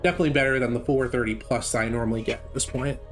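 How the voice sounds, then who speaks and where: A man's voice: mid-range voice, steady tone, racing. A man giving casual, informal live commentary as he speedruns a video game, thinking aloud. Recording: game music behind the voice. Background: video game music.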